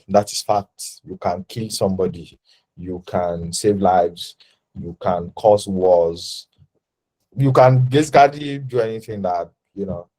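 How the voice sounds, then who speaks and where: Deep-voiced young Nigerian man, halting. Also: warm tone, poor audio. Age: 20s. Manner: halting, filler-heavy, self-correcting. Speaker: designer guest. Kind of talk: casual interview talk.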